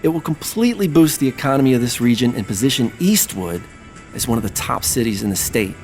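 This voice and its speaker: An actor playing a scheming, flattering businessman with a polished, persuasive delivery in an audio drama. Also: medium pitch, fast, soft background music, close to an everyday voice. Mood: grand salesmanship. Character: smooth, scheming businessman pitching grand plans, flattering.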